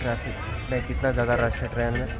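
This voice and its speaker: A man's voice: medium pitch, monotone, fast, over loud music. A man, chatting to viewers as he vlogs his trip into a handheld phone camera. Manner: chatty, keeps addressing viewers. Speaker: travel vlogger. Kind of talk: handheld travel vlog narration. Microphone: phone camera held at arm's length. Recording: loud traffic and crowd noise.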